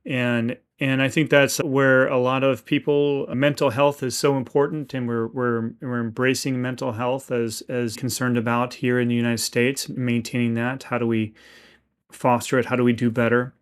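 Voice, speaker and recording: A man's voice: medium pitch, flat delivery, normal speed, clear, resonant tone. A man hosting an interview podcast who asks earnest, long-winded questions and often restarts his sentences. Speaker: podcast host.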